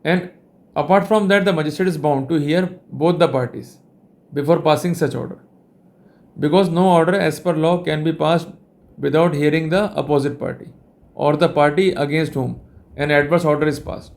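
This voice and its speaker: A man with an Indian accent speaks as a lecturer, in a somewhat high voice. His delivery is formal and deliberate, explaining step by step and leaning on repeated linking phrases. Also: fairly even intonation, a little mellow. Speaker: legal lecturer.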